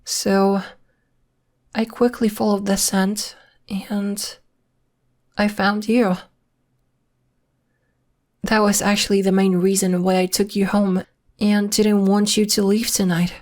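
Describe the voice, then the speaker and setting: A woman acts out a young female half-werewolf for an intimate ASMR roleplay. She speaks very slowly at a medium pitch, gentle and a little hesitant. She comes across as polite, guarded and protective, explaining things earnestly to one listener. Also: level tone, close to an everyday voice. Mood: calm, explaining.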